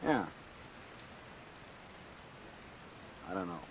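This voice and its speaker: An actor playing an uneducated hired killer, tough but loyal, with slangy gangland talk in a vintage radio drama. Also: male voice, racing, natural voice. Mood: reluctant. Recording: hissy, noisy old radio recording.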